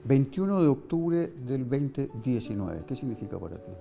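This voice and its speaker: A man's voice: mid-range voice, very animated, moderate pace, creaky, mellow, faint music behind. A man asking conversational interview questions, tentatively rephrasing as he goes.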